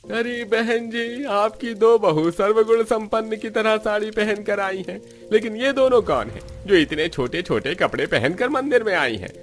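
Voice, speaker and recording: Male narrator as cartoon temple priest, far higher pitched, preachy and reproachful, music underneath. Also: moderate pace, hardly any pauses, lightly acted. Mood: scandalised. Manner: sanctimonious, reproachful.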